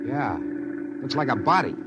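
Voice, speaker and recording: A man's voice: mid-range voice, sing-song, lightly acted. An actor playing a pushy male news reporter who keeps pressing with questions, in 1950s radio drama style. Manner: prying, rapid questions. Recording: old radio broadcast with crowd noise.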